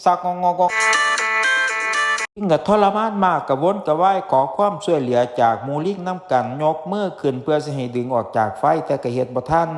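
A man's voice: a little high, expressive, normal speed, darkish tone. A man with an Isan accent narrating a folktale, folksy and speaking straight to his listeners. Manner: folksy, addressing listeners directly. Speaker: folktale storyteller. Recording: music behind the voice.

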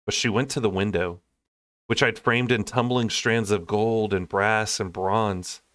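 Low-voiced young man reading a story. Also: fairly even intonation, a little slow, a bit bright, no echo. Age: young adult. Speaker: storyteller reading a novel aloud. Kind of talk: expressive audiobook-style narration.

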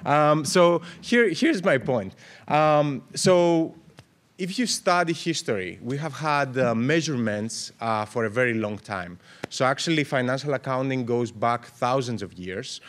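A man in his thirties, originally from Greece, speaks at a conference panel into a table microphone on a stand. His talk is loose and unscripted, full of "um"s, restarts and lively hand-waving, at a middling pitch for a man. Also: unhurried. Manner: animated, gestures a lot, many fillers and restarts. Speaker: panel moderator. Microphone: table mic on stand.

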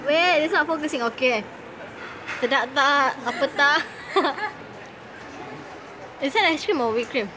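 Young woman, from Malaysia, high voice, giggly, casual chat, phone camera, noisy crowded café. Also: unhurried, pauses often. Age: young adult. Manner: giggly, mixes in Malay words. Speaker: vlogger. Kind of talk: casual food review chat. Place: busy mall café, indoors. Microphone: handheld phone camera. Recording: loud crowd noise behind. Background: café chatter.

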